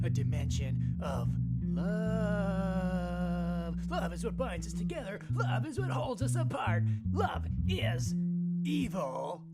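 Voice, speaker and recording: A man voicing a wild meditation guru, shouting his instructions in a high, strained voice. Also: full character voice. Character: loud ecstatic male meditation guru.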